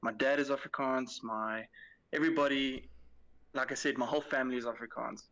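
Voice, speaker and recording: A man's voice: medium pitch, steady tone, a little fast, a bit bright, poor audio. A man from South Africa calling in to a show, chatting casually with hesitant fillers. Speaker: caller. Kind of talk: casual call-in chat.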